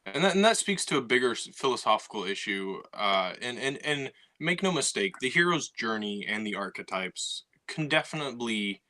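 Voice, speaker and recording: A man's voice: medium pitch, sing-song, normal speed, few pauses, sharp tone. A man co-hosting a podcast, talking casually with many fillers and restarts as he thinks aloud. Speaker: podcast co-host. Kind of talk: casual discussion.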